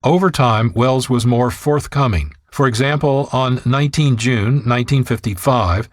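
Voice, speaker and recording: A man narrates a radio program from a script. His medium-pitched voice is close and very dry, with no room around it. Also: moderate pace, dry recording. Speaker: radio show host and narrator. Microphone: close studio mic.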